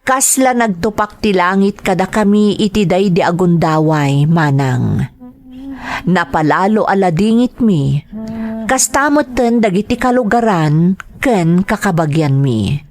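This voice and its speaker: Slow-speaking, earnest young Filipino daughter. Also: female voice, on the low side, expressive, a little mellow. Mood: sorrowful. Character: dutiful, grieving grown daughter telling her story. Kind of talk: radio drama acting, slow narration.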